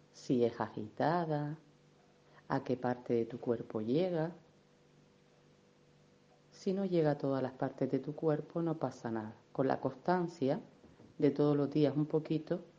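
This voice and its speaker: A woman leading a guided meditation in a gentle, unhurried, soothing manner, with affectionate little diminutives. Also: sits low, highs cut off. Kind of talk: guided meditation, soft instruction.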